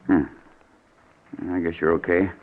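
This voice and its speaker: A man's voice: sits low, little pitch movement, a little slow. An American actor playing a frightened, suspicious, agitated man who stammers, in a 1940s radio thriller. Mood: wary. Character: frightened, suspicious, hard-tempered man expecting to be murdered. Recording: noisy, narrow old 1940s radio recording.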